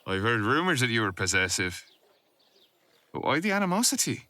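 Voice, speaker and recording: A man's voice: medium pitch, sing-song, moderate pace, pushed and bright, no echo. A man playing a haughty, teasing, flirtatious cousin with an arch, insinuating drawl, in a fantasy audio roleplay. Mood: mocking.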